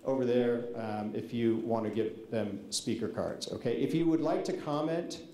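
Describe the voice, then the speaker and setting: A man's voice: mid-range voice, normal speed, warm tone. A man facilitating a public meeting, laying out the ground rules informally and politely, with lots of ums. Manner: informal, many fillers, polite requests. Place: large public meeting hall. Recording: echoey meeting hall, faint noise.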